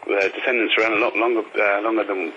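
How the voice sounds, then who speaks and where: A man's voice: on the low side, fairly even intonation, quick pace, crowd noise. A man answering questions in a hesitant, matter-of-fact way over a slightly noisy phone line. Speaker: aquarium worker, phone-in interviewee. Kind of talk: casual phone interview.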